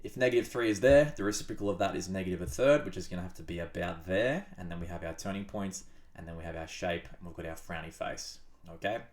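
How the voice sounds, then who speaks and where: Low-voiced, congested maths tutor. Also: male voice, quick pace. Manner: chatty, upbeat, with playful asides. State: sick, blocked-up nose. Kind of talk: casual whiteboard maths tutorial.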